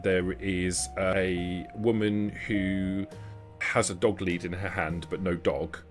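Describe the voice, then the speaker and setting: Deep-voiced man narrating a tabletop game. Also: level tone, slow. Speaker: game master. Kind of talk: improvised narration of a tabletop role-playing game. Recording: background music under the voice. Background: music.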